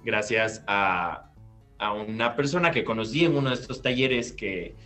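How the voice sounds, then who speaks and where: A man, an actor recounting his career in an interview over a video call from a bright living room. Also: lowish voice, measured pace, soft background music. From Peru. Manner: animated, gestures while recounting, restarts phrases. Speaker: guest actor. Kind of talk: storytelling interview answer. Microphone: laptop webcam on video call.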